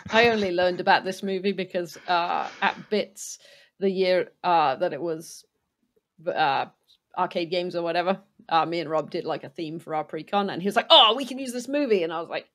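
A young adult woman co-hosting a podcast over a video call, chiming in with quick agreement and frequent laughs. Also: fairly low pitch, fairly bright tone. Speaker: podcast co-host. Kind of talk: casual chat on a video call.

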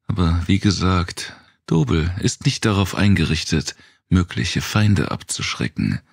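A man reads the part of a tough woman survivor in a dark audiobook, blunt and wary. The voice is low for her, with a gravelly edge, raised somewhat above the narration. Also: animated, measured pace, no echo, clean studio sound, full character voice. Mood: explaining, wary.

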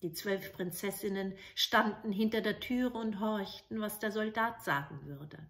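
Female storyteller, middle-aged, unhurried delivery, expressive fairy tale narration. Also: medium pitch. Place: indoors, living room with books.

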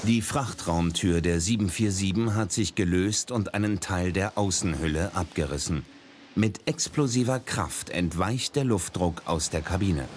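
A man narrating a documentary in a measured, grave scripted voice-over. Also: sits low, a little mellow, slight background noise. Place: voice-over studio. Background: dramatic documentary music.

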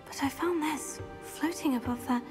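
A woman's voice: high voice, normal speed, music in the background, lightly acted. A woman playing a polite, curious young witch student, hesitant and respectful, in a fantasy game. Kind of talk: cinematic fantasy game acting.

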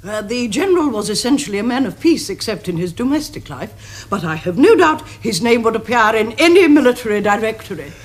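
Imperious, husky Victorian aunt, swooping pitch. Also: female voice, sing-song, fairly quick. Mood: dignified, evasive. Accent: upper-class English. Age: middle-aged. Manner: stately, withering, formal. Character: imperious, haughty older Victorian aristocratic aunt. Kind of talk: theatrical period comedy acting for television.

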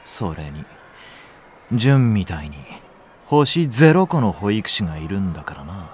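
A man's voice: deep voice, very animated, a little slow, slight background noise. An actor playing a cynical, lazy young male nursery teacher in an audio drama, with a flippant, teasing delivery. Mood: sardonic. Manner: flippant, sardonic. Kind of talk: Japanese audio drama acting.

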